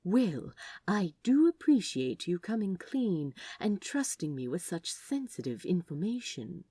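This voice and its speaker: A woman's voice: fairly low pitch, big pitch swings, a little slow, warm tone, slightly rough, no room sound, clean studio sound, exaggerated voice. A woman voicing a prim, polite, eager male office worker with a formal, arch and playfully theatrical manner. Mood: conspiratorial.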